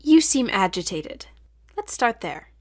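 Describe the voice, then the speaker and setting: A woman acting a patient, probing therapist who speaks in a measured, formal way in an audio drama. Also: medium pitch, big pitch swings.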